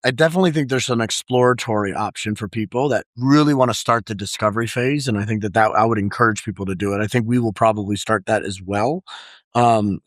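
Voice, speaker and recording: A man's voice: fairly low pitch, fast, no room sound, studio quality. Chatty young man hosting a podcast. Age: young adult. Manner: enthusiastic, chatty, filler-heavy. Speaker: podcast host. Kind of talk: casual chat.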